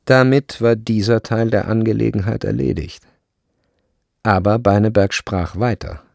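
A man narrating a literary radio drama in German as a sober storyteller, in a measured, grave manner. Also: on the low side, fairly even intonation, creaky, darkish tone, no echo, studio quality. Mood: restrained, sober. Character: sober literary narrator of a tense boarding-school novel.

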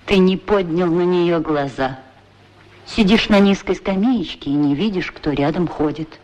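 An actor playing a pair of talking shoes in a radio play, frank, familiar and full of questions. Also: male voice, high-pitched, measured pace, a little mellow, rough recording. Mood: reproachful. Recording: 1960s radio drama recording.

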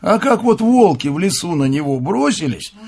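An actor playing a gentle, witty old monk in a radio play, telling folksy lessons in a husky, creaky voice. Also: male voice, high voice, very animated, moderate pace, heavily acted. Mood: teasing storytelling. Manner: folksy, teasing, humble, instructive. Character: gentle, witty old monk elder, ailing but cheerful. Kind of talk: Russian radio drama acting, telling parables.

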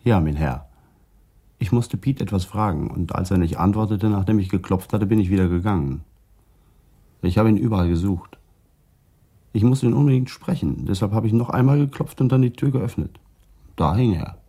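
A reflective middle-aged policeman in a radio crime play. His voice is mellow and husky with some creak, and he talks in a measured, guarded manner. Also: fairly low pitch. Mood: calm, precise. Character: calm, reflective Papuan-Dutch traffic policeman being questioned. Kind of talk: German radio crime drama acting.

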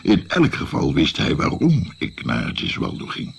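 Creaky, deep-voiced old grandfather storyteller. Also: huge pitch swings, slight background noise, full character voice. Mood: reminiscing. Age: older. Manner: rambling, halting, hems as he recalls. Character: reminiscing old grandfather telling his adventure to a child. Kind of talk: radio play narration.

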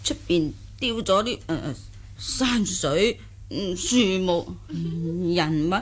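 A woman playing a simple, good-humoured old country granny in a radio drama, with a husky aged voice, folksy exclamations and hesitant musing. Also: lowish voice, slow pace. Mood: admiring. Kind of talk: Cantonese radio drama acting.

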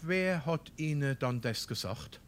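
An actor playing a grumbling, scolding small-town husband in a dialect stage comedy, sarcastic, with a Darmstadt Hessian accent. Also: male voice, on the high side, lively intonation, measured pace. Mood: exasperated. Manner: exasperated, sarcastic.

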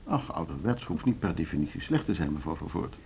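Formal board chairman, gravelly, expressive. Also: rumbling low, full character voice. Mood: courteously firm. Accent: Netherlands Dutch. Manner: formal, deliberate, courteous but unbending. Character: measured, legalistic male board chairman of a music school. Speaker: radio drama actor. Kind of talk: Dutch radio play dialogue.

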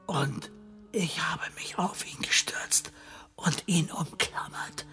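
An actor playing a dying, tormented madman in a German radio horror drama, breathy whispers breaking into feverish, halting cries. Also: a little high, pushed and bright. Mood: tormented raving. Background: music.

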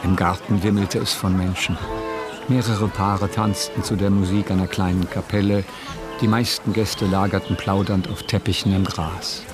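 A man's voice: low voice, flat delivery, normal speed, lightly acted. A man giving composed, literary scripted narration as the narrator of a radio drama. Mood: composed, descriptive. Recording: clear music behind voice.

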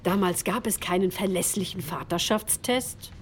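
A woman co-narrating a radio feature with brisk, matter-of-fact scripted remarks. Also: on the low side, a little hiss or hum.

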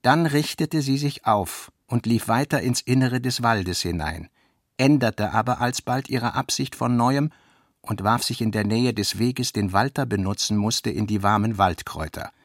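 Measured male literary narrator, very dry sound. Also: mid-range voice, lively intonation, dry recording. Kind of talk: literary narration in a radio play. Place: dry studio.

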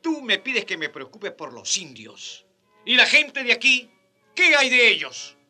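A self-justifying king in a radio drama, with a high, sharp voice that swoops widely. He takes his time, dismissive and condescending, in a theatrical style. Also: sing-song, unhurried, faint music behind, full character voice. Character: evasive, self-justifying, pragmatic King of Spain.

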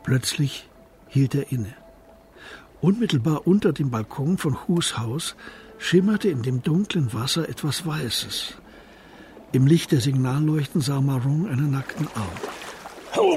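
A man narrating a scripted crime radio drama in a measured storytelling manner. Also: mid-range voice, a little mellow, a little hiss or hum.

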